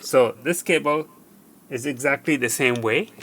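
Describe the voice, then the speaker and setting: A man with a creaky voice of average pitch talks through a hands-on repair tutorial. He goes step by step, haltingly, often repeating himself. Faint background noise sits under the recording. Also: fairly bright tone. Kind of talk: hands-on how-to demonstration.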